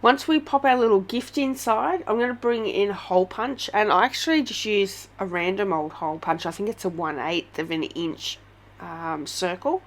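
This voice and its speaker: A woman's voice: medium pitch, moderate pace. Australian woman with a head cold. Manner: chatty, gushing, many ums. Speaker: craft tutorial host. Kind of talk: casual step-by-step craft tutorial.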